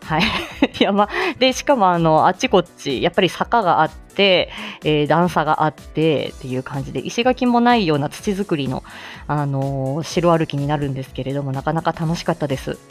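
A woman's voice: deep voice, expressive, a little fast, soft background music. A woman hosting a casual morning livestream, chatting in a giggly, exclaiming way. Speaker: live audio show host.

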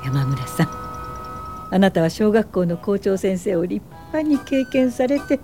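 A woman playing a composed, politely firm older welfare pioneer in a radio drama, with measured narration and dialogue. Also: medium pitch, sing-song, music in the background. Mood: calm, polite.

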